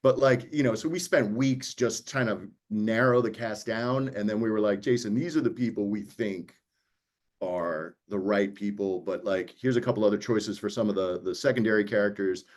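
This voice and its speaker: Guy from new york, lowish voice, casual producer chat, tells stories with little quotes. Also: moderate pace. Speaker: audiobook producer. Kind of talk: casual panel conversation.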